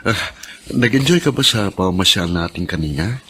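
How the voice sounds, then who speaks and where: An actor playing a tender, devoted husband in a Filipino radio drama, affectionate and reassuring. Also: male voice, deep voice, animated, normal speed, a bit bright, slight background noise. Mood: tender teasing. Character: tender, devoted middle-aged husband, gently teasing.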